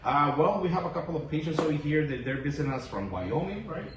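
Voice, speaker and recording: A man's voice: fairly high pitch, lively intonation, fast talker, muffled recording. A man, a dentist from Mexico with a Mexican Spanish accent, chatting casually with a patient and filling his talk with "okay?" and "you know?". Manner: friendly, rambling, tag questions like "okay?" and "you know?". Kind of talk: casual clinic testimonial chat. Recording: echoey room, far from mic, audible noise.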